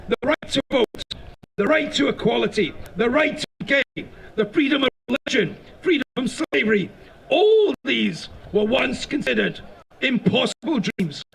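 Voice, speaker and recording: Impassioned man with gravelly high voice. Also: fairly bright tone, slight background noise, low-quality recording. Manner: impassioned, declamatory. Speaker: rally speaker. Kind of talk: political rally speech. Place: outdoor rally stage.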